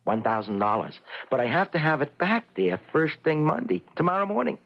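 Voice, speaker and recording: A man's voice: fairly high pitch, big pitch swings, a little fast, creaky, full character voice. An actor playing a timid, sheepish working-class husband in an old-time radio comedy drama, hesitant and stammering as he tries to placate. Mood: sheepish, flustered. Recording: dull lo-fi old radio recording.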